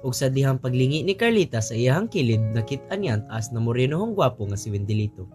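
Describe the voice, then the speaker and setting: Cebuano man narrating with lively pitch. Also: fast, music in the background. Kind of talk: scripted radio drama narration in storytelling manner.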